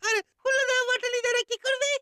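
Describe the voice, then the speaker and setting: A woman voicing a cheeky, squeaky little sidekick in a cartoon. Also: flat delivery, quick pace. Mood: chatty, puzzled. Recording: very loud background music.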